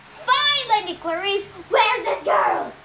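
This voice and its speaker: Child doing a gravelly, commanding ghost captain. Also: extremely high pitch, lively intonation, slow, a crowd in the background, voice pushed up, heavily acted. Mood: barking orders. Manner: barking orders. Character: gruff, commanding skeletal ghost sea captain. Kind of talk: storybook character voice. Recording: roomy echo, background noise.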